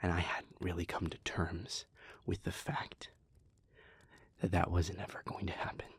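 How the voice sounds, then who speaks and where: A bitter male vampire with a low, husky voice, acted out by a man for an audio roleplay. He is emotionally raw and defensive, speaking with confessional intensity. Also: level tone, unhurried, in a whisper, full character voice. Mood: subdued, regretful. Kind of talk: dramatic audio roleplay acting.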